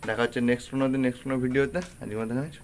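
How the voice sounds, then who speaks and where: A man's voice: medium pitch, steady tone, rapid-fire. A man giving a casual, matter-of-fact vlog narration as he walks through his pets' outdoor space. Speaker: pet vlogger showing his pigeon loft. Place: outdoor pigeon loft and garden. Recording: noisy outdoor recording with cooing pigeons and birds. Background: cooing pigeons, birdsong, ambient noise.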